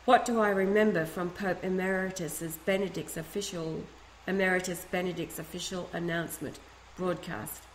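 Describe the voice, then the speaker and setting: A woman reading a first-person letter aloud in a steady, earnest way, with a few small restarts. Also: on the low side, normal speed, a little hiss or hum. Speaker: reader of a letter. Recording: echoey room, faint ambience.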